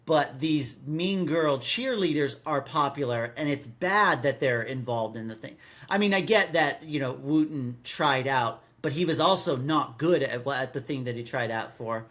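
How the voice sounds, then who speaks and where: A man's voice: medium pitch, normal speed, a bit bright. A man co-hosting a podcast, recapping a story in casual chat with many repeated words and rambling, exasperated asides. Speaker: podcast co-host. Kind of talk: casual chat, recapping and critiquing a radio drama. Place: recorded in person with co-host.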